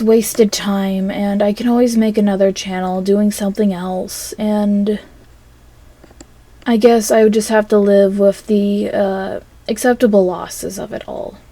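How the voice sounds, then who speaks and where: A woman recording a casual, rambling audio log monologue in a hesitant, halting way that trails off between phrases. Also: medium pitch, warm tone.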